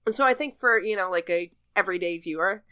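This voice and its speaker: A woman's voice: on the low side, fast, sharp tone, dry recording, studio quality. A woman co-hosting a podcast, thinking aloud in casual, musing chat. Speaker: podcast co-host. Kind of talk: casual chat, TV episode discussion.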